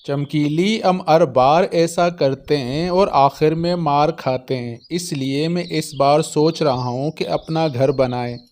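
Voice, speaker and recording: Slow-talking henpecked cartoon crow husband played by a man. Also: fairly high pitch, darkish tone. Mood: hesitant, deferential.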